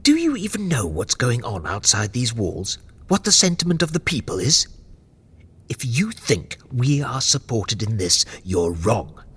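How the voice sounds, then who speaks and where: An actor playing a defiant, sardonic political adviser in an audio drama, pointed and confrontational. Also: male voice, medium pitch, wildly swooping pitch, unhurried, fairly bright tone, husky, full character voice. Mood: urgent, challenging.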